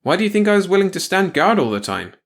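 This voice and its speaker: A man's voice: high-pitched, sing-song, fast talker, pitched above the performer's own voice. A man voicing a mopey, lovesick young crewman in an audiobook story, talking in a slangy, defensive way.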